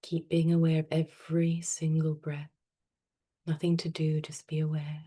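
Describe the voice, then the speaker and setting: A woman teaching meditation, speaking softly and soothingly with unhurried pauses. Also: deep voice, completely flat delivery. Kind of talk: guided meditation.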